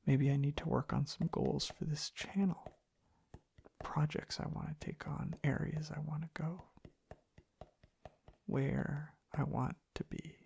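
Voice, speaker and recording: A man speaking in a soft, hushed, half-whispered ASMR ramble, breathy and gravelly, in unhurried halting phrases. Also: a little high, flat delivery, slight background noise. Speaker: ASMR content creator. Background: tapping and clicking sounds.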